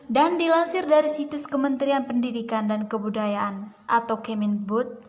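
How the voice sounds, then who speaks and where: A young woman, a student moderator, reading a class presentation in a steady, careful way. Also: fairly high pitch, moderate pace. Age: young adult.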